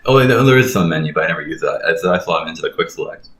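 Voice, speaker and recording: A man's voice: sits low, lively intonation, very fast, fairly bright tone. A man giving casual, explanatory and sometimes sweary commentary while playing a video game. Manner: explanatory, profane. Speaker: let's play host playing and explaining the game. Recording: roomy echo with game music underneath.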